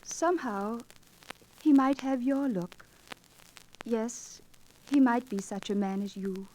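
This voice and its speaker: An actress playing a gentle, earnest young noblewoman with refined, archaic speech in a 1940s radio drama. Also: on the high side, takes it slowly. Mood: tender. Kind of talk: 1940s radio romance acting. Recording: noisy 1940s radio recording with clicks.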